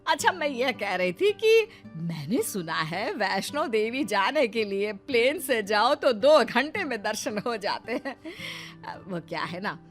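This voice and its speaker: A woman plays a wheedling, greedy mother-in-law of older years in a cartoon tale. She speaks slowly and rather high, with fawning melodrama and music underneath. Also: sing-song. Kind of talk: animated Hindi moral story acting.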